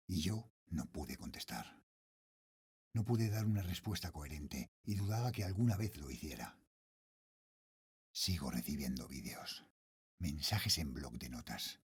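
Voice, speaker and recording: Low-voiced, haunted middle-aged father. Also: breathy edge, heavily acted. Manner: grave, brooding, dropping into slow hushed phrases. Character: haunted middle-aged father, shaken security guard narrating his horror. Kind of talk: dramatic first-person horror audio fiction narration.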